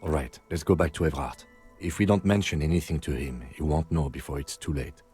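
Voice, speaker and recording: An actor playing a calm, dry police lieutenant in a game, measured and deadpan. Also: male voice, rumbling low, slightly rough, full character voice. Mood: practical. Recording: music behind the voice.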